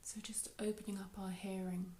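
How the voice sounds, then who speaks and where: A woman leading a guided meditation in a soft, unhurried, soothing way, with phrases trailing off into breath. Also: medium pitch, little pitch movement, slight background noise. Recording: roomy, echoey sound.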